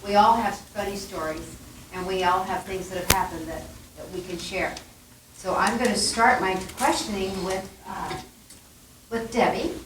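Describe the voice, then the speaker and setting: A woman's voice: medium pitch, moderate pace, noisy background. A woman moderating a panel Q&A in a chatty, playful way. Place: meeting room. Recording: echoey room from a distance.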